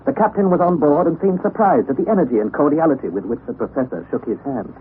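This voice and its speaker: A man narrating a 1960s radio drama in a measured, expressive storytelling manner. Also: a little high. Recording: phone-like narrow 1960s radio broadcast with faint hiss.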